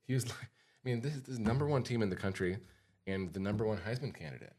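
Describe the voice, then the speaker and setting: A man with a low-pitched American voice hosts a casual podcast. He speaks like an advisor chatting with listeners, repeating key points for emphasis in an informal way. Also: expressive, quick pace, darkish tone, husky. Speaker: podcast host and financial advisor.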